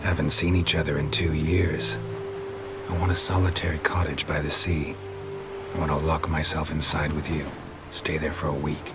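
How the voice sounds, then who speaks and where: An actor playing a gruff, weary monster hunter in a video game, terse, deadpan and gravelly, with clipped delivery. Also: male voice, a bass voice, moderate pace. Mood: dry, flirtatious. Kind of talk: video game cutscene acting. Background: music.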